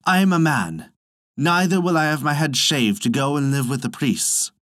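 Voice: medium pitch, lively intonation, moderate pace, a bit bright, no room sound, clean studio sound, natural voice. A storyteller voicing an earnest young boy, son of a god, with formal storybook phrasing. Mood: earnest, pleading. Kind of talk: storybook reading.

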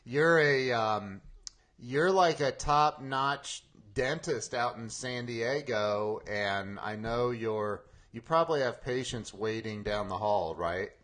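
A man with a medium-pitched voice hosts a casual interview show. He talks slowly and informally, often halting and repeating phrases as he goes. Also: a bit bright. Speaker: podcast host interviewing a guest.

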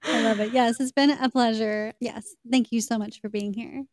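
A woman's voice: a little high, fairly even intonation, moderate pace, a little mellow, no room sound, clean studio sound. A woman hosting a podcast, enthusiastic and encouraging as she thanks her guest and lists takeaways. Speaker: podcast host. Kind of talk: podcast chat and summary.

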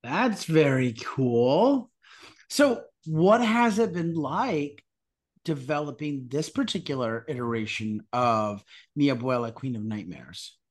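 Upbeat, lively interview host. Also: medium pitch, slow pace. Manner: enthusiastic, affirming. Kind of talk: interview hosting.

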